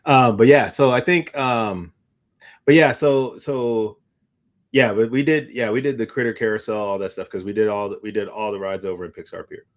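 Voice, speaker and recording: A man's voice: on the low side, fairly even intonation, moderate pace. A man co-hosting a podcast, chatting casually in informal, slangy speech. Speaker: podcast co-host. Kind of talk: casual chat.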